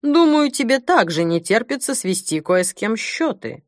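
A woman voicing a haughty, cruel male bishop in an audiobook, with a slow, soft, menacing drawl. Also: on the high side, big pitch swings, no room sound, studio quality. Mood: sinister relish. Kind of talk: audiobook character acting.

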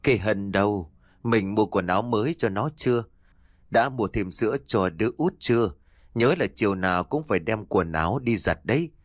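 A man's voice: on the low side, expressive, moderate pace, no echo, studio quality. A man voicing a frail dying wife. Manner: faint, whispery.

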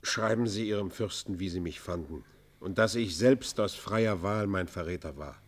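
A repentant robber in a classical radio play. His voice sits low and his speech is formal and declamatory. He pleads for mercy with stubborn pride, stressing his words emphatically. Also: moderate pace. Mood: urgent pleading. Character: proud, remorseful outlaw robber pleading for mercy. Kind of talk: German radio play, classical literary acting.

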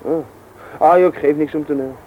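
A man's voice: on the high side, steady tone, fairly quick, narrow, tinny audio, natural voice. An actor playing an evasive, anxious young man who stammers. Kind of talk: Dutch radio play acting. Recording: noisy old radio play recording.

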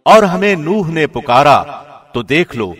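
A man reading a scripture translation as scripted narration, in a measured, formal delivery. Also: fairly high pitch, very animated, slightly rough. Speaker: translation reader, radio host. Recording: studio voice with long reverb.

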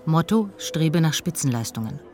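A man's voice: fairly high pitch, lively intonation, moderate pace, darkish tone. A man reading scripted facts and lists for a documentary in a clipped, factual manner. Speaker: documentary reader. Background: faint music.

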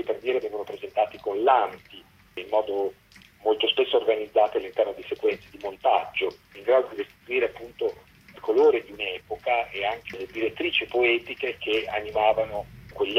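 A man's voice: lowish voice, breathy edge. A man explaining his documentary work at length in a radio interview, in long, articulate sentences. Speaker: documentary co-director being interviewed. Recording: dull, lo-fi phone-like line.

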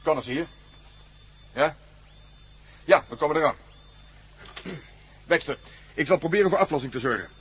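A man's voice: fairly high pitch, expressive, normal speed, pauses often, fairly bright tone, slight background noise. A male actor playing a no-nonsense police inspector in a radio drama, curt and businesslike. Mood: brisk, commanding.

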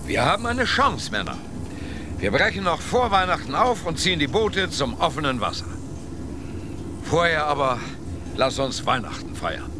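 A man's voice: on the high side, sing-song, moderate pace. A man playing an expedition leader addressing his men in a radio drama, commanding and declamatory. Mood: rousing, resolute. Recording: clear outdoor ambient noise.

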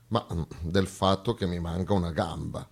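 A man's voice: low-pitched, steady tone, normal speed, gravelly, breathy edge, exaggerated voice. A man playing the South personified as a weary, plaintive one-legged underdog, plodding and stubborn in a comic stage dialogue. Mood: weary, hesitant. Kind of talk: theatrical comic monologue dialogue.

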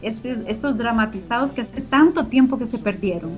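A woman's voice: a little high, moderate pace, soft background music. A middle-aged woman, giving reflective, explanatory answers as an interview guest. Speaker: interview guest, organization founder.

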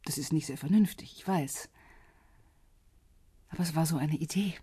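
An actress playing a hesitant, tender woman in love, speaking in soft, halting, husky phrases in an intimate radio drama. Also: low voice, dry recording. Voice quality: breathy, husky. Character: hesitant, tender woman arriving at her lover's home.

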